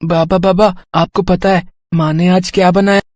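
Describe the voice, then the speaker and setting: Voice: medium pitch, level tone, normal speed, a little mellow, no echo, natural voice. A performer voicing an innocent, eager little village boy in cartoon story dialogue. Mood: excited.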